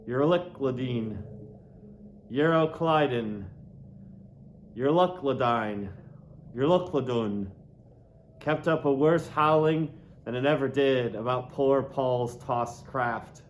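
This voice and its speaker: A man reading a classic novel aloud in a deliberate, dramatic way, lingering on words. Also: mid-range voice, slight background noise. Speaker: audiobook reader. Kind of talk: literary narration, theatrical reading of a classic novel.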